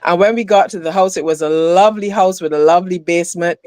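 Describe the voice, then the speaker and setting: A woman from Barbados, living in the US for decades, chatting as a guest on a video call and talking with many fillers and pauses. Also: on the low side, a little mellow, no echo, clean studio sound. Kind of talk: casual video call chat. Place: home in the US.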